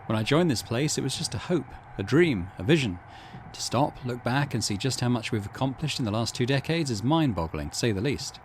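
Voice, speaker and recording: A man's voice: mid-range voice, lively intonation, a little fast, few pauses, slight background noise, natural voice. A man voicing a departing corporate team leader from a game, nostalgic and upbeat in a declamatory way. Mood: grandly nostalgic.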